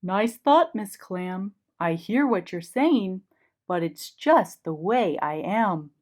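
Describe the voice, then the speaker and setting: A woman's voice: medium pitch, lively intonation, takes it slowly, darkish tone. A woman voicing a glum, resigned male fish in a children's storybook, in sing-song rhyme on a slightly dull recording.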